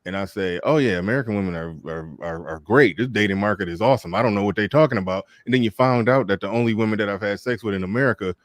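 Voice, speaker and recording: Low-voiced stammering show host. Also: male voice, quick pace, few pauses, dry recording, studio quality. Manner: stammering restarts, casual slang. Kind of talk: live call-in debate show.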